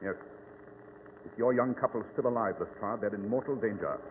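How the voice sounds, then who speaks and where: An actor playing a brilliant, precise English detective with a British accent and a formal, pompous manner in old radio style. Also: male voice, on the low side, moderate pace, lightly acted. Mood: grave, dramatic. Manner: pompous, exact, formal deduction. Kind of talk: 1940s radio acting. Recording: tinny, reverberant, noisy old radio recording.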